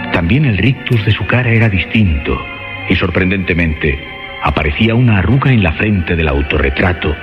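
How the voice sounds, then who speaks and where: Deep, expressive male horror narrator. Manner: measured, suspenseful phrasing with pauses. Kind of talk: dramatic scripted horror narration. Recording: muffled lo-fi radio drama recording.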